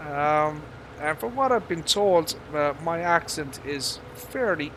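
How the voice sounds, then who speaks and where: A man with a mild Irish accent giving casual live gaming commentary in a halting, rambling, casually sweary way. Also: a little high, animated, fairly bright tone, slight background noise, poor audio. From Ireland. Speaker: game streamer.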